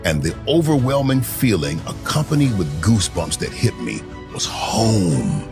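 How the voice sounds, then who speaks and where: A man telling a vivid personal story in an expressive, storytelling manner, played from a clip. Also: on the low side, a little slow, gravelly. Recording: clip with music underneath.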